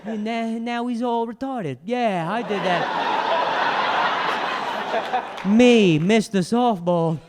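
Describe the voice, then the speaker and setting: A stand-up comedian in his thirties speaks with a noticeable stutter. His voice sits high, and he grows animated and exasperated as he works the room. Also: slow pace, pauses often, heavy background noise. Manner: animated, exasperated, escalating outbursts. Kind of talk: stand-up comedy and video narration.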